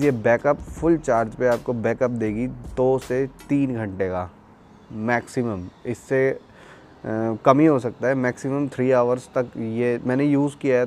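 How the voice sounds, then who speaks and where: A man's voice: medium pitch, steady tone, moderate pace, darkish tone, a little hiss or hum. A young man gives a casual, explanatory product review in a conversational way, mixing in English words. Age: young adult. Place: outdoors, terrace and courtyard.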